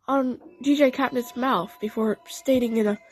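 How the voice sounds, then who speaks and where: High-voiced woman narrating a story. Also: steady tone, moderate pace, poor audio. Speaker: narrator and voice actor. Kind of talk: dramatic first-person story narration.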